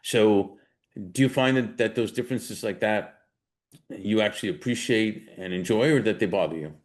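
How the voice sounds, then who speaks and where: A man's voice: lowish voice, normal speed. A man hosting an interview, asking long, rambling, encouraging questions with restarts. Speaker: host. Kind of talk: casual interview.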